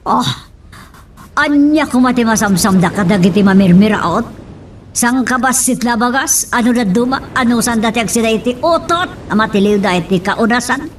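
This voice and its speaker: A woman's voice: fairly high pitch, normal speed, a little hiss or hum, natural voice. An actress playing an earnest, concerned village woman with a Filipino accent in a radio drama.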